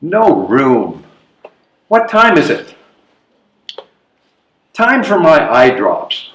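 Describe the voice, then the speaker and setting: A man playing an amiable, evasive older gentleman in a readers theatre comedy, with expressive, drawn-out delivery. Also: fairly high pitch, exaggerated voice. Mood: hearty, contented. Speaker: amateur readers theatre performer. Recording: roomy, echoing live stage recording.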